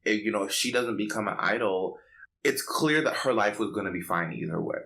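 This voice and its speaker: Low-pitched chatty man on a podcast. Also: lively intonation, fairly quick, gravelly, pushed and bright, a little hiss or hum, poor audio. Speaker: podcast co-host. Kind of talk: casual pop culture podcast chat.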